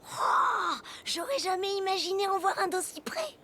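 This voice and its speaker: A woman voicing an excitable, skittish little boy animal in a children's cartoon, exclamatory and melodramatic. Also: extremely high pitch, normal speed, a little hiss or hum, full character voice. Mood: amazed.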